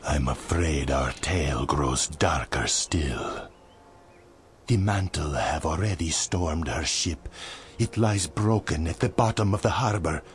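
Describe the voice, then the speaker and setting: An actor playing a grave, courtly vizier mage in a video game, with formal, ominous, theatrical delivery. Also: male voice, a bass voice, slow pace, slight background noise. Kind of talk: video game cutscene acting.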